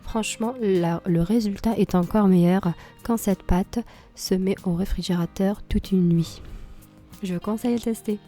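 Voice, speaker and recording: A woman with a slightly hoarse voice from a cold talks through a recipe in a calm, mellow tone. She explains each step plainly, with the odd small filler. Soft music plays behind her. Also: medium pitch, moderate pace. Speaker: cooking channel host. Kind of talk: cooking tutorial voice-over.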